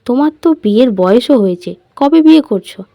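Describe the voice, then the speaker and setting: Woman acting a haughty rich young fiancée, pitched up. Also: lively intonation, a little fast, warm tone, no echo, clean studio sound. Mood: haughty, suspicious. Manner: pushy, needling questions. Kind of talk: audio love story dialogue.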